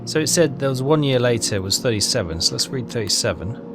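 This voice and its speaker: A man giving casual game walkthrough commentary, reading text aloud and haltingly thinking out loud. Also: mid-range voice, expressive. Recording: clear music behind the voice. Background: game music.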